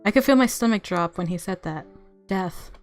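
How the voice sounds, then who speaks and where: A woman narrating a visual novel aloud as a game streamer, with expressive reactions and music underneath. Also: fairly low pitch, moderate pace, dry recording.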